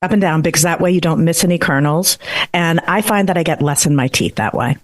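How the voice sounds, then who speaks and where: A woman's voice: low voice, fairly quick. Middle aged woman, true crime podcaster, earnest, laughs easy, chatting in an interview. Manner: warm, earnest, laughs easily. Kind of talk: interview answers.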